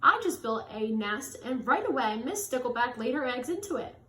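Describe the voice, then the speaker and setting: A woman's voice: fairly high pitch, very animated, moderate pace, fairly bright tone. A woman voicing a proud, contented father fish in a children's storybook, upbeat and emphatic. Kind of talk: children's storybook character read aloud. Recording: echoey room with faint noise.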